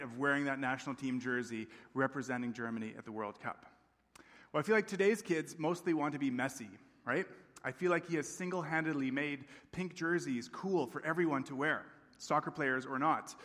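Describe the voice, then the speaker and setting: A man's voice: mid-range voice, fairly bright tone. A man in his thirties, a campus pastor raised in Tanzania, preaching a sermon in a friendly, conversational way and often checking in with the congregation. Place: large church sanctuary. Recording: long reverb of a church hall.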